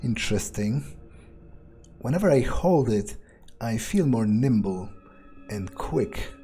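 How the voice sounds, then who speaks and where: A man improvising in-character game commentary as a scheming vampire, musing aloud in a deliberate, self-assured way. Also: mid-range voice, expressive, warm tone. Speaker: gamer playing in character. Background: faint game music.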